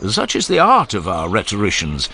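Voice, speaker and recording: A man's voice: on the low side, huge pitch swings, normal speed, bright, forceful tone, slight background noise, heavily acted. A man voicing Socrates, an older male philosopher who speaks with teasing irony in a dramatized audiobook. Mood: ironic. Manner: ironic, teasing, expansive. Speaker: voice actor.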